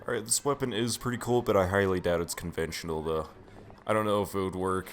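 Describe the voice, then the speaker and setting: A man's voice: low voice, quick pace. A man giving casual, offhand video game commentary, swearing freely as he plays. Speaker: gameplay commentator.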